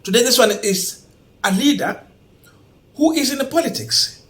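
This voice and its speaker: High-pitched Kenyan man, emphatic political commentary. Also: a bit bright. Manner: emphatic, deliberate, repeats points for stress. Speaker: host of an online political commentary channel. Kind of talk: political news commentary to camera.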